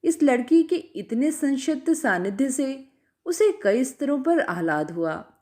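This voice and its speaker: A woman reading a literary short story aloud in a measured, expressive way, her voice dropping at the end of phrases. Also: high voice.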